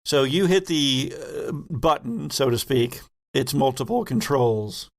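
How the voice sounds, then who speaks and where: A man narrating a tabletop horror game as game master, telling it deliberately with pauses. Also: mid-range voice, expressive.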